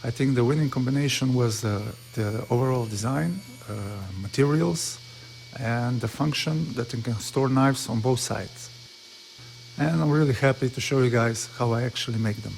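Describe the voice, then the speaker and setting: A man's voice: mid-range voice, normal speed, gravelly, a little mellow, a little hiss or hum, poor audio. A man from Slovenia speaking English with a Slovenian accent, narrating his woodworking in an earnest, plain way with small slips. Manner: plain, earnest, slightly broken English with frequent uh fillers. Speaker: woodworker and channel host. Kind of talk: casual workshop vlog narration.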